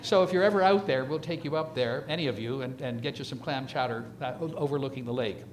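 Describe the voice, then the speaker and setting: A man in his seventies giving an informal, reminiscing slide lecture in a halting, conversational way, speaking directly to his audience. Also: mid-range voice, a little hiss or hum. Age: 70s. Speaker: retiring surgeon giving an emeritus lecture.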